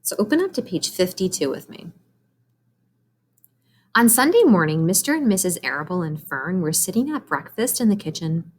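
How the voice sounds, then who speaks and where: Animated woman reading aloud to children. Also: on the low side, moderate pace. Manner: animated, addressing young listeners directly. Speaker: read-aloud storyteller for children. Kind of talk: expressive children's read-aloud.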